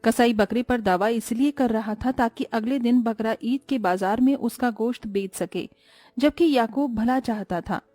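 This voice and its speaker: A woman narrating a scripted cartoon story in a calm storytelling manner. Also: a little high, moderate pace, dry recording, faint music behind.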